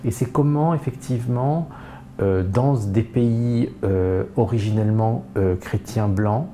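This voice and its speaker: Low mellow man, measured and hesitant. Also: a little hiss or hum. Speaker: artistic mentor. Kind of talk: interview.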